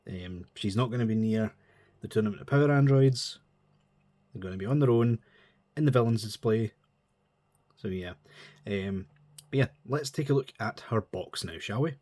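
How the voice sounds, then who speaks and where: Stuffy-nosed man, low voice. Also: moderate pace, long pauses, warm tone, no echo. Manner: chatty, rambling, informal. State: stuffy nose from a cold. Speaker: model kit reviewer. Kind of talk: casual chat.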